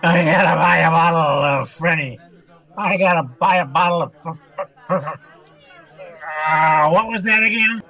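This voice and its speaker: A man's voice: fairly high pitch, measured pace, vocal fry, bright, forceful tone, exaggerated voice, low-quality recording. A man playing a maudlin, over-familiar barfly in a radio comedy, slurring and repeating himself in a drunken ramble. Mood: gleeful, wheedling. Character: maudlin, happily drunk male barfly pestering strangers.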